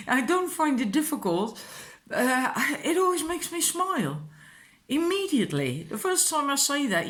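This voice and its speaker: A woman's voice: on the high side, a bit bright. A woman with a Dutch accent, a native Dutch speaker, giving informal, encouraging spiritual advice as a card reader in a rambling, casual way. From Netherlands.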